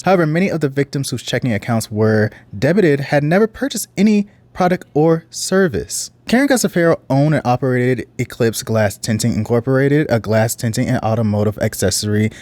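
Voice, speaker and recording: An earnest man with a deep voice, giving casual, reflective reaction commentary. His pitch sits in a middle range, and the recording is dry and close to the mic, with no room sound. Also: darkish tone, dry recording. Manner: earnest, reflective, sometimes reads out case details.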